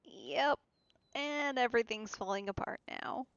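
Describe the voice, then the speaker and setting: A woman's voice: on the high side, unhurried, a little hiss or hum. Woman game streamer, slightly muffled mic. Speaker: let's play streamer. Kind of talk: casual live game commentary.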